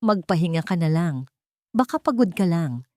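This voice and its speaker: A woman's voice: fairly low pitch, expressive, moderate pace, a little mellow, dry recording, clean studio sound, close to an everyday voice. A woman putting on a honey-voiced scheming wife. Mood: falsely sweet. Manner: falsely tender, honeyed. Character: scheming sweet-talking woman, a cold stepmother.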